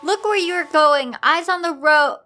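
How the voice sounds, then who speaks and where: Woman as an earnest high-voiced schoolgirl. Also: measured pace, sharp tone, faint music behind, exaggerated voice. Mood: worried. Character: anxious, sarcastic schoolgirl, heroine of the story.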